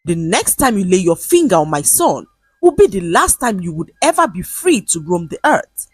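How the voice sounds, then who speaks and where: A woman's voice: fairly low pitch, very animated, moderate pace, breathy edge, no room sound, clean studio sound, faint music behind. A woman storyteller voicing a rich, indulgent mother who is haughty, angry and cutting. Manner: haughty, sharp, cutting, dismissive. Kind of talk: dramatised quotes in narrated folktale storytelling.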